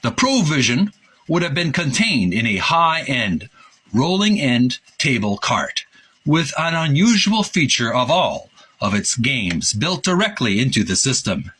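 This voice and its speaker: A man reading aloud and describing pictures as a presenter, with an informal, at times halting delivery. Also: mid-range voice, sing-song, a bit bright, husky.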